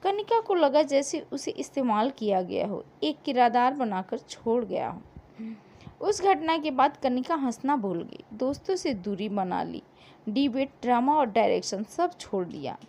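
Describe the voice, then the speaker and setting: A woman narrating a scripted story in a dramatic, emotive way with deliberate pauses. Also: a little high, big pitch swings, a little mellow.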